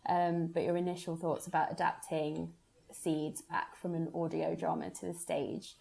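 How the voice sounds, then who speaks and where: A woman's voice: on the low side, level tone, moderate pace, vocal fry, warm tone. A woman leading a casual interview as a producer, phrasing her questions in a tentative, meandering way. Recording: noticeable background noise.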